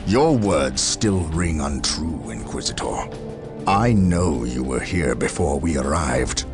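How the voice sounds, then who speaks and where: A stern, battle-worn commander of space marines speaks in a profoundly deep male voice. He is formal, proud and distrustful, in the weighty style of a game cinematic, with clear music underneath. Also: expressive, takes it slowly, full character voice. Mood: accusing. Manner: solemn, forceful, formal. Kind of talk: dramatic video game cutscene acting.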